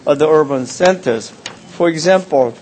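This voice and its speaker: An older man from South Korea, a city councillor giving a measured, halting nomination speech. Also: on the high side, a little mellow, a little hiss or hum. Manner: measured, halting, many "uh" pauses. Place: council chamber.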